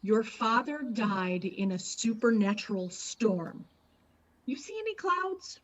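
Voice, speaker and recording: A woman's voice: on the high side, lively intonation, takes it slowly, lo-fi sound. A woman playing a stubborn, superstitious, scornful wife and mother in a radio play, emphatic and accusing. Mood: brisk, firm. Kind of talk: dramatic radio play acting.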